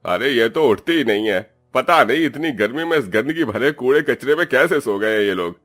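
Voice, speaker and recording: A man's voice: medium pitch, lively intonation, moderate pace, a bit bright, faint music behind. An actor playing a scornful, brusque, hard-hearted man who dismisses people in a cartoon story. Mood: irritated shouting. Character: gruff, dismissive, hard-hearted man scolding poor girls.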